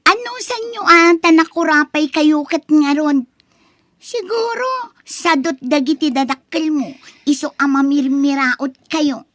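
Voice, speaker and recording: A woman's voice: sits high, measured pace, dry recording. A woman acting a spoiled, haughty college-age daughter in an Ilocano radio drama, whiny and scornful, with an Ilocano accent.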